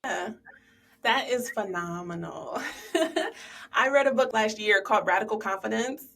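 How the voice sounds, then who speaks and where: A woman's voice: mid-range voice, unhurried, gravelly, fairly bright tone, recorded from a distance. A woman hosting a podcast interview, asking questions and affirming her guest with enthusiasm. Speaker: podcast host. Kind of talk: casual interview chat.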